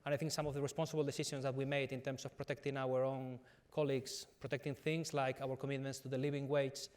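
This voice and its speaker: A man's voice: mid-range voice, flat delivery, fast talker, darkish tone. A middle-aged man with a Spanish accent, speaking earnestly at a business panel with many fillers and restarts. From Spain. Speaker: company executive on a conference panel.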